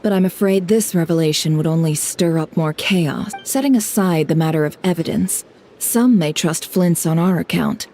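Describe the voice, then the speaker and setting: Actress doing a cool calculating lady schemer for a game, dark smooth-edged low voice, very deliberate. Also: slight background noise, lightly acted. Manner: measured, deliberate, coolly poised. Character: shrewd, composed, calculating female informant. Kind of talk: video game voice acting.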